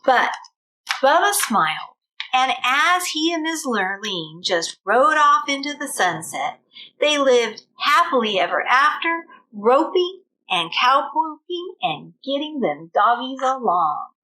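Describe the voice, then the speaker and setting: A woman reading a children's storybook aloud in an expressive manner, as a teacher does for young children. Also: high-pitched, takes it slowly, rough recording.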